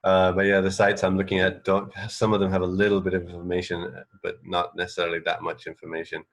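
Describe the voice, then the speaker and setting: Low-voiced man in casual radio banter. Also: monotone, fast talker. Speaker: radio co-host.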